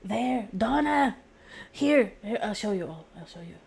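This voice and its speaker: A woman's voice: mid-range voice, lively intonation, unhurried, creaky, a little mellow, husky. A woman game streamer chatting casually in a rambling, slangy, blunt way, often repeating her words. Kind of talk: casual live-stream chat. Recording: faint background noise, game audio.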